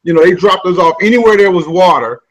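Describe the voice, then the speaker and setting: A man's voice: high voice, fast, a crowd in the background, low-quality recording. A man from the Midwest hosting a casual chat, loose and joking. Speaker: host.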